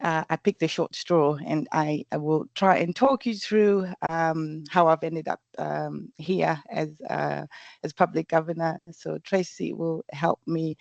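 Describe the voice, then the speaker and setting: A woman from Zimbabwe, introducing herself as a governor in a reflective, halting way. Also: deep voice, steady tone, creaky, no echo. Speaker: public governor. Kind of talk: personal introduction at a meeting. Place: remote video call. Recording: dull, lo-fi video call audio.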